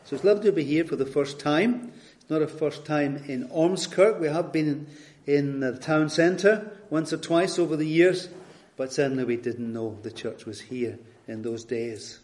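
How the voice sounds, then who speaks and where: A retired Scottish pastor in his later years, guest preaching, reading scripture and leading prayer in a measured, earnest way. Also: on the high side, a little mellow. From Scotland. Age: older.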